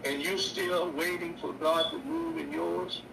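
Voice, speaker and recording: Slow, gravelly older preacher, echoey room. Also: male voice, on the high side, big pitch swings. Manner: slow, repetitive preacher with stammered restarts. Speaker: bishop and radio show host. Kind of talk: preaching sermon. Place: indoor room. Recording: echoey distant mic with noise.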